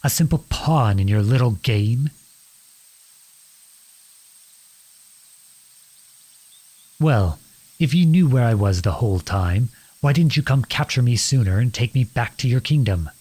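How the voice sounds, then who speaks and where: A man playing a defiant young outlawed prince in a dramatic audio roleplay, speaking earnestly and deliberately in a husky voice. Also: mid-range voice, animated, a little mellow. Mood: hurt, accusing. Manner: earnest, deliberate, pleading and accusing. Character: defiant outlawed young prince confronting his cruel king father.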